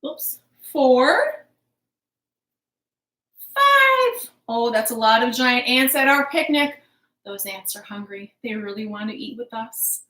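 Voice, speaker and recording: A woman hosting a storytime for young children, talking in an animated, encouraging, playfully exaggerated way, recorded in a living room. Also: a little high, takes it slowly, long pauses. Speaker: children's storytime host at a public library. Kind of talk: children's storytime, rhymes and counting. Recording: roomy, echoing home recording.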